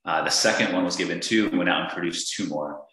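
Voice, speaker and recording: A man from Northern Virginia talks as a podcast guest. His voice is very low yet bright and forward. He is earnest and halting, full of fillers and false starts, and his voice rings in a strongly echoing room. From Northern Virginia, USA. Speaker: podcast guest, real estate investor. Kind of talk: casual interview conversation. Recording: echoey room.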